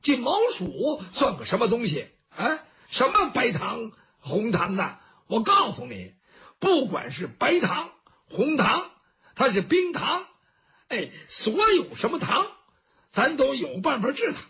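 A storyteller voicing a brash, boastful male guardsman who blusters and mocks in a husky voice. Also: medium pitch, big pitch swings, unhurried, sharp tone, full character voice. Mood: boastful, mocking. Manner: blustering, rambling mockery. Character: brash boastful hot-headed male guardsman, loud and mocking.

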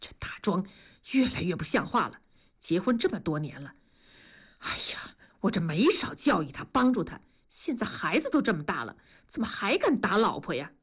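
A stern, fatherly middle-aged factory master lectures a worker with exasperation, as a woman narrator voices him. His delivery rises and falls in a lively way. It is an audiobook radio drama. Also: sits high, moderate pace, pitched above the performer's own voice, full character voice. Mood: scolding.

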